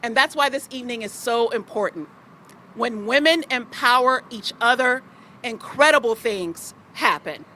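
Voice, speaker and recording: A woman's voice: fairly high pitch, animated, normal speed, a little hiss or hum. A woman giving a rallying ceremonial speech to a sisterhood gathering. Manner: warm-rallying, then joking and loose. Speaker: speaker at civic ceremony.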